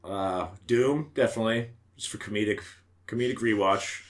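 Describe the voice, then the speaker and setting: Male podcast host, low-pitched, casual and foul-mouthed, punches his opinions with emphatic slang. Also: normal speed. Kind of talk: casual chat about movies.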